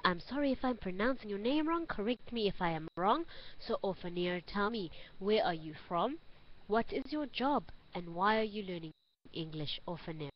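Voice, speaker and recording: A woman with a South African accent teaching an online English class, giving instructions clearly and repeating phrases for learners. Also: medium pitch. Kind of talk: online group lesson. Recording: dull lo-fi online call audio.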